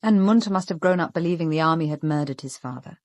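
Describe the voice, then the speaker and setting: A perceptive British woman sleuth, played by a radio actress, speaks in a slightly low voice with measured, deliberate phrasing. The sound is dry and studio-close, and her manner is calm and probing. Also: no room sound, close to an everyday voice. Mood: understanding. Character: perceptive, composed young woman amateur detective. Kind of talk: radio drama acting.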